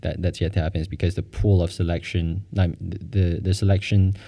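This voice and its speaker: Man, host, casual interview, curious, deep voice, mellow tone. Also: flat delivery, a little fast, vocal fry. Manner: curious, follow-up questions, chatty.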